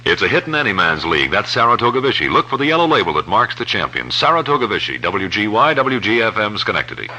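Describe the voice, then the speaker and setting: A man's voice: on the low side, very animated, fast, hardly any pauses, bright, forceful tone, rough recording. A man with an American accent in a punchy announcer delivery, voicing a 1960s radio advertisement and station identification. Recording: vintage radio broadcast.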